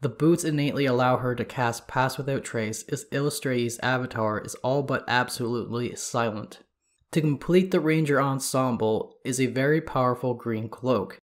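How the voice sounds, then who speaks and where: Congested man reading lore notes. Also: medium pitch. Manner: steady, measured reading of reference material. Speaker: podcast host. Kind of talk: scripted lore narration.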